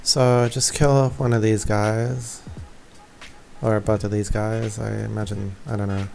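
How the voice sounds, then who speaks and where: A man's voice: lowish voice, little pitch movement, unhurried, gravelly, darkish tone, soft background music. A young man giving casual, offhand, self-deprecating gameplay commentary. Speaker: gaming video creator.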